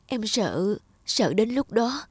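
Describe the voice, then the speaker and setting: A woman playing a gentle, sorrowful young woman in a cartoon drama, speaking earnestly and plaintively. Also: mid-range voice, sing-song, slow, breathy edge, dry recording, full character voice. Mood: sad, resolute. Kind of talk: cartoon drama acting with reflective narration.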